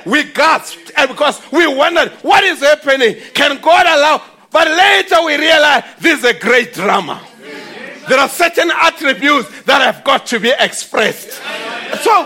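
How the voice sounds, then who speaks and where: A man's voice: very high voice, creaky, pushed and bright. A man preaching a fervent, declamatory sermon in emphatic short phrases broken by exclamations.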